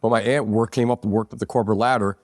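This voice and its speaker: Fast-talking rambling New Jersey man. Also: lowish voice, fairly even intonation, no echo, studio quality. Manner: rambling, profane, street-talking. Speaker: podcast guest, business owner. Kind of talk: casual storytelling chat. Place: pizzeria.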